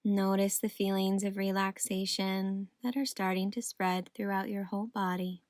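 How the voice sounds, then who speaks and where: A woman guiding a meditation in a soothing, gentle, unhurried manner. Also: medium pitch, level tone, dry recording. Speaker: meditation guide. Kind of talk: guided meditation narration.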